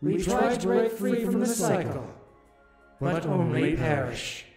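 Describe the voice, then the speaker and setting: A man voicing a solemn, ancient otherworldly ruler in a visual novel, making grave, deliberate, theatrical pronouncements. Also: a little high, big pitch swings. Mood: weary, brooding. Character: solemn, ancient otherworldly ruler, calmly justifying terrible deeds. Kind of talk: dramatic visual novel voice acting. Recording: echoing voice under loud music.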